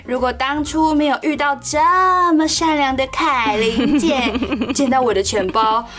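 Woman acting a high-voiced bubbly Taiwanese student girl. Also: steady tone, measured pace, fairly bright tone. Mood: giggly. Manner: giggly, exclamatory. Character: scatterbrained, bubbly, naive young university student girl. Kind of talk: audio drama acting. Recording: music behind the voice.

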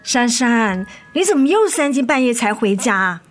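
Worried weary mother, slightly high voice, nagging, radio drama acting, faint music. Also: very animated, normal speed. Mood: scolding, worried.

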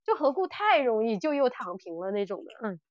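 Middle aged lady podcaster, highish voice, dry close sound bit dull, chatty and blunt. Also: brisk, dry recording. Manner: animated and blunt, mimics characters' lines. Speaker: podcast host. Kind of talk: casual chat reviewing audio dramas.

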